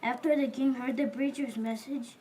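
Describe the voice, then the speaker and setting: A woman's voice: high-pitched, steady tone, moderate pace, dark tone, slight background noise, natural voice, low-quality recording. A woman playing a helpful, courteous male merchant in an amateur biblical audio drama. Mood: earnest, informative.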